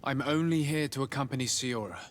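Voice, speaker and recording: An actor voicing a courteous, gentle young male diplomat in a video game, speaking in a formal, measured way. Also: medium pitch, long reverb tail, soft background music, lightly acted. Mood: kind, helpful.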